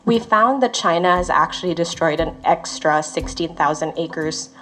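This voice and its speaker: A woman from the Philippines, a research associate, calmly explaining findings with occasional fillers. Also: deep voice, normal speed, poor audio. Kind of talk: explanatory interview talk.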